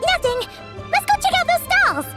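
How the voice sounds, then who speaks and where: A woman voicing a tiny, excitable, childlike fairy companion girl in a video game, with a squeaky, exclamatory delivery. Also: normal speed. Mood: excited, bubbly. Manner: exclamatory, refers to self in third person. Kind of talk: video game character acting. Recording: music playing behind the voice.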